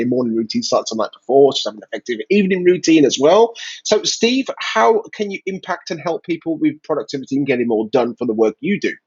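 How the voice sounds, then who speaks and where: A man hosting a live-stream interview, upbeat and chatty with a slightly husky voice. He encourages his guest and speaks easily, and the sound is dry and a bit dull, like a video call. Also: medium pitch, no room sound.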